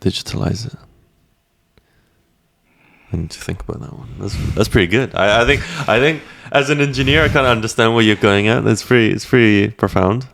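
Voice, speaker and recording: Low gravelly-voiced male podcast host. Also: fairly bright tone. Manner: interjecting, quick to paraphrase and joke. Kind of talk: casual podcast conversation.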